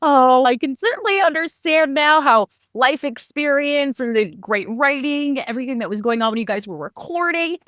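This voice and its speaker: A woman's voice: sits high, expressive, moderate pace, few pauses, a bit bright, dry recording, clean studio sound. A woman hosting a podcast, asking questions in a casual, conversational interviewing style. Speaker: podcast host.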